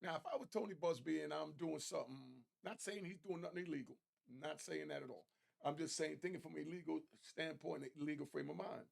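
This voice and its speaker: Higher-pitched, creaky-voiced man commentating. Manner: street slang, conversational. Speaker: host of an online commentary show. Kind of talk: casual opinionated commentary.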